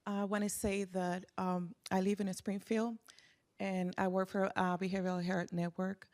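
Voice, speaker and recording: A woman speaking plainly and a little haltingly as a panelist giving testimony about her community. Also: mid-range voice, level tone, a little mellow. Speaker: panelist, community health worker.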